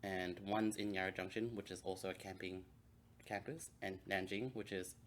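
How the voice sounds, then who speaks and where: A man's voice: sits low, steady tone, normal speed, slight background noise. A man from Hong Kong, a native Cantonese speaker, telling stories in a casual podcast chat. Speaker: podcast co-host.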